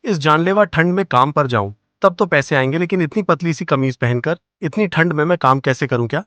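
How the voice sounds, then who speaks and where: A man's voice: fairly high pitch, fairly quick, fairly bright tone, dry recording, close to an everyday voice. A man playing a poor, weary, kind woodcutter and family man in a cartoon moral story, speaking plaintively and earnestly. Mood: dejected.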